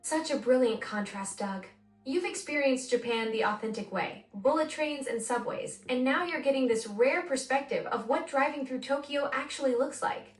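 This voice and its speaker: An effusive, eager synthetic woman's voice, pitched a little high, gushing with praise in a chatty conversation. She sounds set back from the mic in a roomy space, with faint music underneath. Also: normal speed, distant mic. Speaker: AI voice assistant co-host. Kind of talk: conversational chat.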